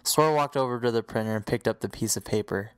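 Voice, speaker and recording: A man's voice: medium pitch, fast talker. A man reading scripted story narration as the narrator of an audio drama.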